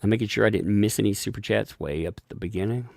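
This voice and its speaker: A man hosting a casual live stream, thanking viewers for donations and rambling with frequent restarts and chuckles; he has a gravelly voice. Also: on the low side, quick pace, no room sound, studio quality.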